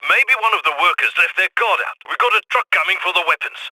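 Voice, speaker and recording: A sharp-toned heist planner; brisk and wry instructions, dry studio read. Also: male voice, high-pitched, no echo, exaggerated voice, low-quality recording. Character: male heist planner on comms, brisk and cocky. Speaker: mission coordinator. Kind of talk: video game voice lines.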